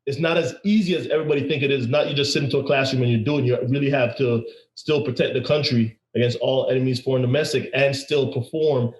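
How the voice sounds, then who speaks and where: A man's voice: medium pitch, fast. A man hosting a podcast who tells stories energetically and often repeats words. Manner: energetic storytelling with laughs and repeats. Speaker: podcast host. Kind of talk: casual interview chat. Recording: roomy echo.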